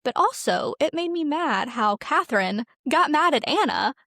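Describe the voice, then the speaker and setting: A woman's voice: sits high, expressive, moderate pace, bright, forceful tone, no echo, studio quality. A woman co-hosting a podcast, asking a guest questions in casual conversation. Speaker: podcast co-host interviewing a guest. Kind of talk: casual interview chat.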